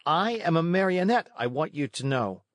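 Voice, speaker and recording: A man acts out a small marionette boy in a children's storybook, pitched well up. He is proud and plucky, speaking with earnest indignation. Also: sing-song, moderate pace, no echo, studio quality. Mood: indignant. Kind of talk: children's storybook read-aloud.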